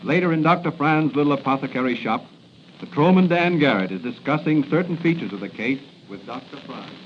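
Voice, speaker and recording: A man's voice: on the high side, lively intonation, fairly quick, lightly acted. A man narrating a 1940s radio serial as its announcer, with dramatic scripted delivery. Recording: muffled, hissy 1940s radio recording.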